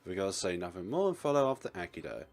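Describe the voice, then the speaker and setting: Male gaming commentator, low register, animated swings, playful read-aloud with joking asides. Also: quick pace, faint music behind. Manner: playful, exclaims and laughs, acts out the narrator's lines. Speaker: let's player reading a visual novel aloud.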